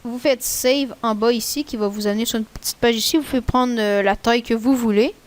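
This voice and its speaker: A woman with a Québécois French accent, rambling casually through a screen-recorded software tutorial in a chatty, slangy way. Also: on the high side, steady tone, a little fast. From Quebec. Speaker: tutorial maker.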